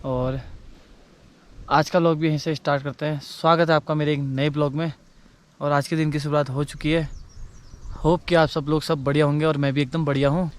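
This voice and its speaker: A man with a hoarse voice, chatting casually to the camera as a vlogger while walking to a temple. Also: on the high side. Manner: chatty, talks straight to the camera. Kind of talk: casual vlog chat.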